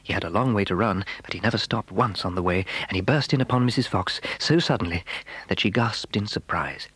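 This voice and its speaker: A man narrating a children's story in a dramatic, expressive, suspenseful way, with a husky, breathy voice. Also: lowish voice, a little fast, no echo. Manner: expressive, suspenseful, building excitement.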